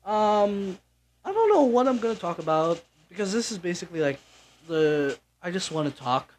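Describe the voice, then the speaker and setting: A man's voice: high voice, very animated, moderate pace, a little mellow. Young filipino guy rambling thru his podcast intro, lots of uhs and likes, faint hum behind. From Philippines. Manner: rambling, many fillers and restarts; offhand, mildly profane. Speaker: podcast host. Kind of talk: casual solo podcast chat.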